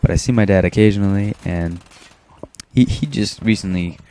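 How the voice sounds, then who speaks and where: Low monotone teenage boy, smooth voice. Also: moderate pace. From Maine, USA. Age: teens. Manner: self-described monotone, rambling with restarts. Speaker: gaming commentator. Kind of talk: casual gameplay commentary.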